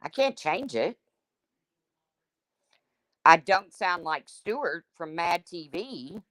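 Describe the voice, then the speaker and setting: A woman with a deep, husky smoker's voice hosting a livestream, reading chat comments and answering them in a blunt, chatty way. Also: very animated, slow, fairly bright tone, no echo, clean studio sound. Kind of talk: casual chat with viewers.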